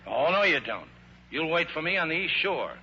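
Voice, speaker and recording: On a dull vintage radio recording, a gruff river captain. His voice is sharp and very bright, his talk folksy and rough in its grammar. The delivery swings widely in pitch, in old radio drama style. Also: very animated, normal speed, exaggerated voice. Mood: bossy, stubborn. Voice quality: folksy, ungrammatical speech. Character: gruff, plain-spoken riverboat captain, soft on his sweetheart.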